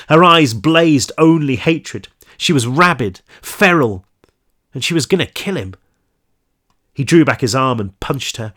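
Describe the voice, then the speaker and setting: A man with a stuffy, congested nose reading his own horror novel aloud slowly and deliberately, then chatting with fillers between passages. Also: medium pitch, a bit bright. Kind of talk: dramatic horror book reading.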